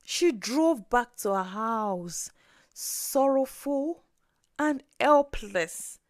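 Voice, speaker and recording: A woman narrating a scripted story in an expressive, dramatic way. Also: fairly high pitch, extremely slow, dry recording, studio quality.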